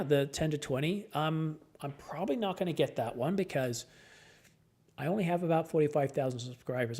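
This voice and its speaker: A man's voice: medium pitch, a little mellow, husky. Worn-out guy on a late night live stream, chatty, answering comments, voice fading. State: tired, losing his voice.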